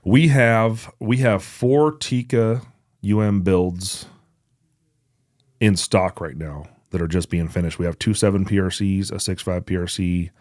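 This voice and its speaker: A man with a low-pitched voice speaks on a dry, close recording. He co-hosts a podcast and runs through product news in an informal, conversational style, as if talking straight to regular listeners. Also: a little slow, a little mellow, no room sound. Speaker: podcast co-host. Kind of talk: casual podcast product update.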